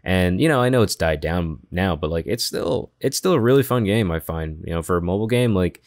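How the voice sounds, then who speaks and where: A man with a lowish voice shares opinions as a podcast co-host. He sounds informal and easygoing in casual conversation. The sound is dry, with no room echo. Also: lively intonation, a little fast, a little mellow.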